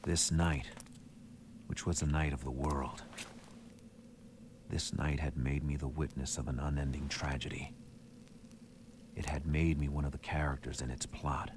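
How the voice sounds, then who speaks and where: A very deep, brooding noir narrator. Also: male voice, little pitch movement, background noise. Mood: grim, brooding. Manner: slow, grave, brooding delivery with long breaks. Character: weary amnesiac man, brooding noir narrator of a horror game. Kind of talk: scripted noir game narration.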